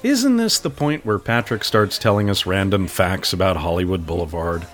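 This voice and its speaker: Man playing a lively, cheeky voiceover guy. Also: low voice, normal speed, soft background music. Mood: teasing. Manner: coy, teasing. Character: cheeky, boastful male voiceover announcer. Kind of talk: comedy audio drama acting.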